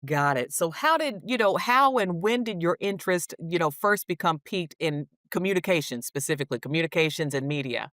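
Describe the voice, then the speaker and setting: A woman's voice: lowish voice, lively intonation, fairly quick, few pauses, fairly bright tone, no room sound, clean studio sound. A woman presenting and interviewing as a show host, with a polished, complimentary delivery.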